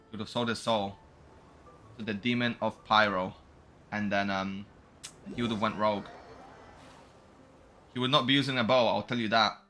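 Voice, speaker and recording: A man's voice: lowish voice, pushed and bright. A young man giving casual, slangy gameplay commentary, musing aloud as he goes along. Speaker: game streamer.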